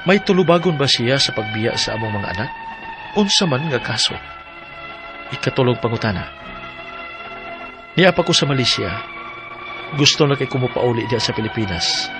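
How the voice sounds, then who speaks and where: A man's voice: medium pitch, natural voice. An actor with a Cebuano accent playing a troubled middle-aged husband and father working abroad, reading a plaintive letter for a radio drama. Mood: worried, pleading. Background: background music.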